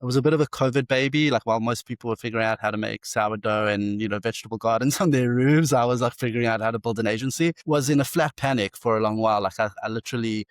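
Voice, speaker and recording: A man's voice: mid-range voice, clear, resonant tone, no room sound, studio quality. A South African man in his forties, telling stories in a casual interview with eager energy and frequent restarts. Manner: enthusiastic, fast storytelling with many restarts. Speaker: agency founder and CEO, guest.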